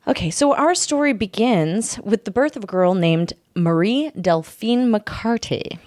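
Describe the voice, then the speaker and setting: A woman's voice: mid-range voice, animated, measured pace, darkish tone, dry recording, studio quality. A woman hosting a podcast, telling a story in an informal, joking way as part of a casual chat. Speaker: podcast host.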